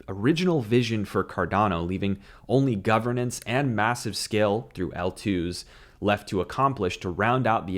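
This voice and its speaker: Opinionated man hosting a crypto show. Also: fairly low pitch. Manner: opinionated, brisk, mocking at illogical debates. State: slightly scratchy voice from no sleep. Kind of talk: scripted commentary. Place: small home studio with lamp. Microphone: desk broadcast mic on arm.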